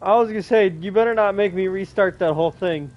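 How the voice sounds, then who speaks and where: A woman's voice: medium pitch, fast talker. A woman giving casual, sweary reactions while playing a video game. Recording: muffled, faint background noise.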